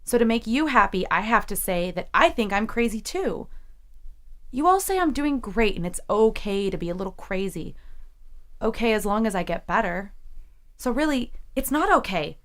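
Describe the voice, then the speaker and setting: Troubled, defensive young student. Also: female voice, medium pitch, big pitch swings, moderate pace, a bit bright. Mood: bitter, hurt. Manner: guarded, bitter, curt. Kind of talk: full-cast audio drama acting.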